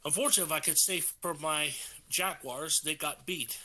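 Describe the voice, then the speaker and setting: Eager bright-voiced man. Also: fairly high pitch, a little fast.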